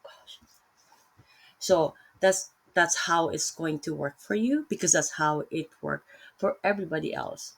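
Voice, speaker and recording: A woman's voice: low-pitched, unhurried, rough recording. A woman with a Filipino accent giving a casual Bible talk on her laptop, rambling and correcting herself with lots of fillers. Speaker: vlogger teaching Bible study.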